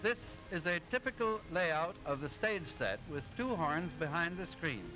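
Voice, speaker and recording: A genial cartoon professor lectures in a high voice. He explains precisely and didactically, in the manner of 1920s educational film narration. Music plays behind him on an old soundtrack. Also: expressive, moderate pace, bright, forceful tone, poor audio. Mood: explaining.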